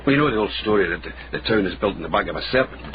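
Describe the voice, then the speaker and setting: An actor playing a dry, sardonic Scottish investigator in a period radio drama, wry and understated. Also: male voice, fairly low pitch, lively intonation, rapid-fire, lightly acted. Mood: wry, cautioning. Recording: muffled, noisy radio recording.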